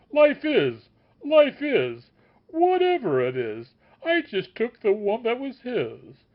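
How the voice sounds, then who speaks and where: A man voicing an obsessive male seeker of truth who shouts a scornful tirade, on a dull lo-fi recording. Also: sits high, pitched above the performer's own voice, heavily acted.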